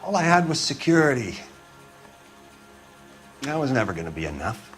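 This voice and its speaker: An actor playing a beleaguered working-class mechanic and husband in a 1940s game drama, plain-spoken, wounded and defensive. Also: male voice, medium pitch, very animated, moderate pace, faint music behind, natural voice. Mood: bitter regret. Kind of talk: video game drama acting.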